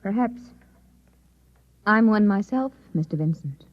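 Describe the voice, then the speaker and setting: Husky, secretive Martian wife. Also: female voice, mid-range voice, expressive, unhurried. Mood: cool, composed. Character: composed, secretive Martian posing as a devoted wife. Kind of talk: old-time radio drama acting. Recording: phone-like old radio drama recording.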